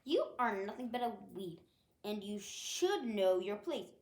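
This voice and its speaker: A woman voicing a scornful tulip queen in a storybook, loud and commanding. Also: mid-range voice, big pitch swings, unhurried, echoey room. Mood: outraged.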